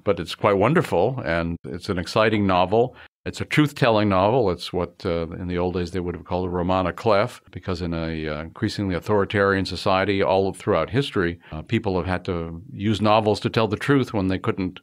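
Older male host, low voice. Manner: warm introductions with frequent "uh" pauses. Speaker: show host. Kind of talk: interview host.

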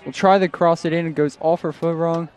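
A young man doing live play-by-play for a night soccer game outdoors, his voice strained, getting louder on attacks and correcting himself often. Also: fairly high pitch, steady tone, brisk. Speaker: play-by-play commentator. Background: crowd noise.

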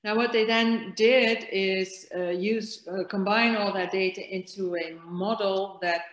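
A woman's voice: medium pitch, fairly even intonation, measured pace, roomy sound, low-quality recording. A woman in her sixties giving a conference lecture on a webcam, hesitant, with many fillers and restarts. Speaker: keynote speaker on virus transmission. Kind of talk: conference lecture over slides. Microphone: webcam on a video call.

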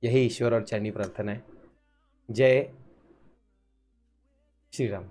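A young man, reacting loudly and theatrically as he live-streams a game. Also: mid-range voice, slow, faint music behind. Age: young adult. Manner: loud, theatrical, excitable. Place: indoor streaming setup in a gaming chair.

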